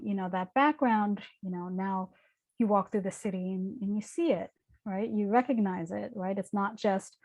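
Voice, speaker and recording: A woman's voice: mid-range voice, a little mellow. Hesitant woman in her forties from New York. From New York, the Bronx. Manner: hesitant, many fillers and restarts. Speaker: guest lecturer, building conservator. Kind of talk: casual Q&A answers.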